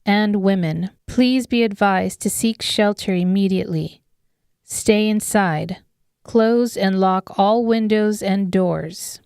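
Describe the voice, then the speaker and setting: A woman's voice: mid-range voice, gravelly, a little mellow, clear, resonant tone, no room sound, clean studio sound. A woman reading scripted narration of an apocalyptic horror audiobook. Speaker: author narrating her audiobook.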